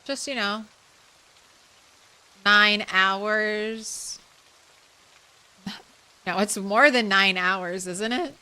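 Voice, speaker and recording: A woman streamer chatting softly and casually with her viewers while playing a game, thanking them as she goes. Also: medium pitch, very slow, pauses often, smooth, poor audio. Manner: soft, relaxed, thanks viewers. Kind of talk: casual live-stream chat while gaming.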